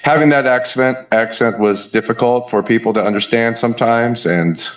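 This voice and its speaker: A man in his fifties from the Southern United States with a Southern US accent, chatting as a musician guest in an interview, relaxed and hesitant with many fillers. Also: medium pitch, moderate pace. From Southern United States (Kentucky, Memphis). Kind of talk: casual interview chat. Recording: echoey room.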